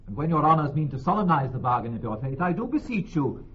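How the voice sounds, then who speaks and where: Jovial young gallant, very lively pitch. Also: male voice, fast. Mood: eager, teasing. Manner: lively, bantering, courtly. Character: jovial, brash young gentleman companion. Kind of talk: classical Shakespearean radio drama. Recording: dull vintage radio recording.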